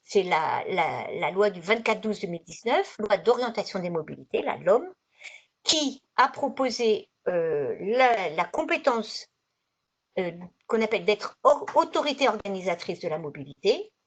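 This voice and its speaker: A woman's voice: mid-range voice, very animated, a little slow. A woman presenting slides as a trainer in an online session, explaining in a conversational, didactic way and rephrasing as she goes. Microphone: video conference microphone. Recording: dull, lo-fi video call audio.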